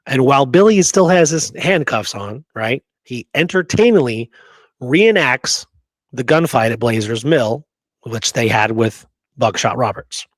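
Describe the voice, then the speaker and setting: A man's voice: medium pitch, lively intonation, measured pace, no room sound. A man casually telling and discussing history as a show co-host, emphatic and often checking in with "right?".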